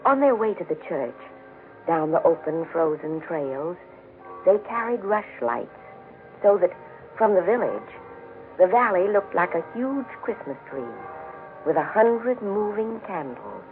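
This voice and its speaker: A man telling a story in solemn 1940s radio narration, with reverent, measured, formal phrasing. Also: high-pitched, very animated. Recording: tinny 1940s radio broadcast with music behind. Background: music.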